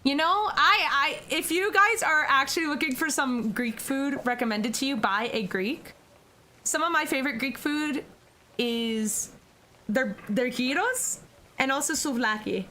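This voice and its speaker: A woman's voice: high voice, bright, forceful tone, slight background noise, low-quality recording. A young American woman streaming, chatting casually with her viewers and giggling often. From United States. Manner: giggly, chatty, answers chat questions.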